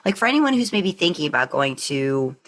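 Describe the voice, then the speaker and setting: A woman's voice: low-pitched, big pitch swings, a little fast, fairly bright tone. A woman hosting a podcast, talking casually with her guest in an interview. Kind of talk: casual chat.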